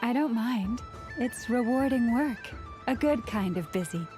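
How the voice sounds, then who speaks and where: A woman voicing a gentle, serene priestess with antlers in a video game, speaking calmly and softly. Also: fairly high pitch, unhurried, music in the background. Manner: calm, warm, soft-spoken.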